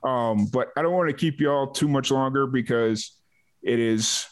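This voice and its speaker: A man hosting a casual music interview, halting as he talks and often repeating words. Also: medium pitch. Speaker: host.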